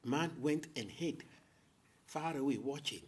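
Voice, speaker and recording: A man telling a folktale as host, with theatrical, deliberate phrasing and dramatic pauses. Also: fairly high pitch, breathy edge. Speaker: storyteller, host. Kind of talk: oral folktale storytelling to an audience.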